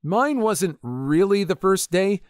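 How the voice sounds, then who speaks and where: Lively male story narrator, dry sound. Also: high voice, slow, clean tone, dry recording. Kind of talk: scripted narration of online stories.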